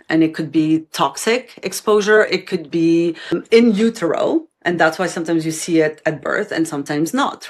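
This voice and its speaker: A woman in her fifties from Algeria speaks in a fairly low voice, answering interview questions. She explains things in an earnest, matter-of-fact way and lays out each point step by step. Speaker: guest.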